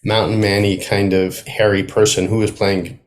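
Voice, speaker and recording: Guy with a lowish voice being interviewed, kinda halting, figuring out his thoughts out loud. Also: level tone. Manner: halting, thinking aloud. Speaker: playwright guest. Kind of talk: casual interview conversation.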